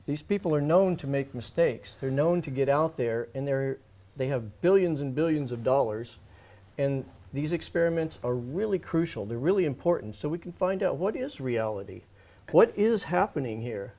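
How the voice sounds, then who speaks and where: A man's voice: fairly high pitch, lively intonation, moderate pace, dark tone. A man speaking off the cuff as a guest on a radio interview, earnest and emphatic, often restating his points. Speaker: alternative-media guest and writer. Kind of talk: unscripted radio interview talk.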